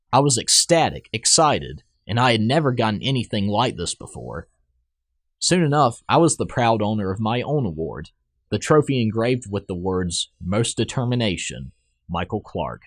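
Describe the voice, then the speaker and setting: A man's voice: fairly low pitch, dry recording. A young man reading a scripted personal essay aloud in an earnest, rehearsed storytelling manner.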